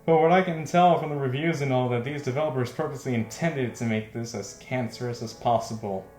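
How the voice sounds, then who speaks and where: A man's voice: mid-range voice, brisk, a little mellow. A man giving casual let's play commentary as host, self-deprecating and exasperated, often breaking into laughter. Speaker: game streamer, host. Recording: roomy echo, faint music behind.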